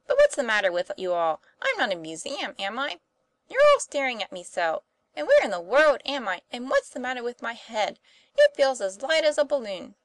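A woman's voice: on the high side, big pitch swings, normal speed, gravelly, few pauses, pushed and bright, no room sound, voice pushed up, exaggerated voice. A woman voicing a plucky, blunt young schoolboy in an audiobook, boyish and exclamatory in manner. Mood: bewildered, cheeky. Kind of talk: audiobook character voice.

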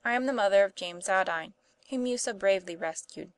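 A woman's voice: mid-range voice, brisk, no echo, natural voice. A woman voicing a refined, motherly lady in an audiobook, with a tender and formal manner. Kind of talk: audiobook character voice.

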